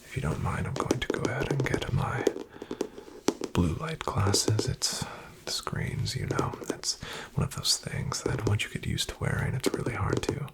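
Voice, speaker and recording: Man playing a whispery, gravelly financial advisor, ASMR. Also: on the low side, very animated. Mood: calm, businesslike. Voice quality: breathy, half-whispered, gravelly fry. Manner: slow, soothing, formally polite. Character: polite, reassuring, smoothly boastful male financial advisor. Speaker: ASMR roleplay performer. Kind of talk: ASMR, soft-spoken roleplay.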